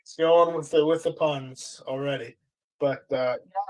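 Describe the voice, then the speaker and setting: A man with a fairly high-pitched voice hosts a casual live stream. He moves between reading out comments and loose chat. His delivery is halting, with words often repeated as he goes. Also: fairly even intonation, poor audio.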